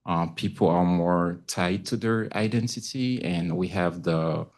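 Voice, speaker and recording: A man with a Haitian accent speaking as a panelist about his own experience, haltingly and searching for words. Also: low-pitched. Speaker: panelist, community news correspondent. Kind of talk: panel talk, personal account.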